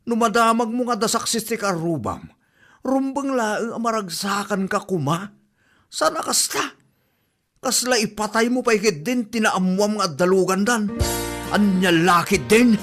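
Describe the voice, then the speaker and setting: A man's voice: sits high, a little slow, fairly bright tone, soft background music. A man playing an exasperated village husband in an Ilocano comedy drama, bluntly scolding his wife. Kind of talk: comedy radio drama acting.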